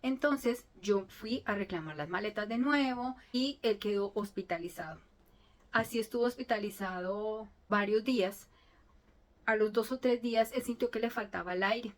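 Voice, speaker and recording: A woman's voice: medium pitch, moderate pace. A middle-aged woman, a native Spanish speaker, telling a personal story in an earnest, emotional way, with frequent restarts. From Colombia. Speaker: vlogger telling her story.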